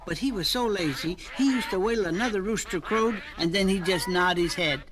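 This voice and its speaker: A man voicing a silly, wisecracking, cowardly bald stooge in a slapstick cartoon, with a squeaky, tense voice and clownish delivery. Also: a little fast, a crowd in the background, lightly acted. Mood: goofy joking. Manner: goofy, clownish joking. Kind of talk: slapstick cartoon comedy acting.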